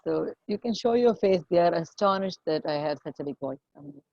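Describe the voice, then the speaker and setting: A woman's voice: fairly low pitch, expressive, fairly quick, no room sound, highs cut off. A middle-aged woman with an Indian English accent, taking part in an online workshop. She chats in a playful way and laughs often. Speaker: workshop participant. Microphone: video call.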